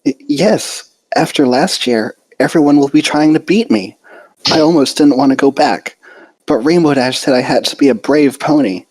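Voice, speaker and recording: Guy doing a shy girly pegasus pony, high voice, timid and hesitant, storybook reading. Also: moderate pace, no room sound, natural voice, poor audio. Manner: hesitant, timid, soft-spoken.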